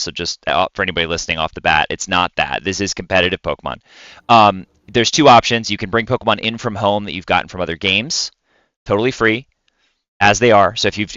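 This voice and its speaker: A man with a deep, bright voice co-hosts a casual gaming podcast. He explains things with enthusiasm, and his delivery is crisp and clean, recorded close and dry. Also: no room sound, muffled recording. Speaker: podcast co-host. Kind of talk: casual podcast chat about video games.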